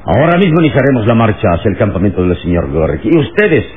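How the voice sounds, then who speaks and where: An actor playing a noble, commanding hero in a solemn, declamatory radio drama style. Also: male voice, on the low side, very animated, fast. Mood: resolute, confident. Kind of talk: Mexican radionovela acting. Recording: tinny old radio recording with hiss.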